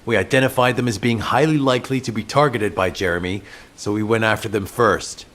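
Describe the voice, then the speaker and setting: An actor playing an earnest field commander in a video game, serious, brisk and commanding. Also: male voice, lowish voice, fairly bright tone, natural voice. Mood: urgent. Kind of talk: dramatic video game cutscene acting.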